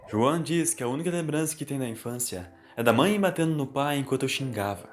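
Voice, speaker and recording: A man's voice: medium pitch, lively intonation, brisk. A man with a Brazilian accent reading a scripted story as its narrator, in Portuguese storytelling style. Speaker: narrator of an audio fan story. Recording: voice over background music. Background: music.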